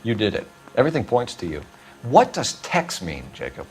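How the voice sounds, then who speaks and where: An earnest forties police detective in a game drama. His speech is clipped and probing, and his mid-pitched voice moves widely up and down. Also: huge pitch swings, normal speed, faint music behind. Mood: stern. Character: earnest, hard-driving 1940s male police detective. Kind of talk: video game drama acting.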